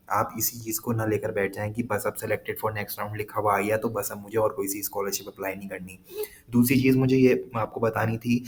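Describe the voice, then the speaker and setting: A man with an Indian accent explaining things informally to viewers as a video host, repeating points and mixing in English phrases. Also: lowish voice. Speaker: scholarship guidance video host. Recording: clearly noisy background.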